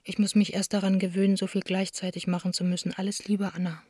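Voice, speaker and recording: An actress playing a young woman theatre director in a radio play, reading her letters aloud in a hushed, intimate, understated manner. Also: mid-range voice, flat delivery, fast, dry recording, clean studio sound, natural voice. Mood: matter-of-fact.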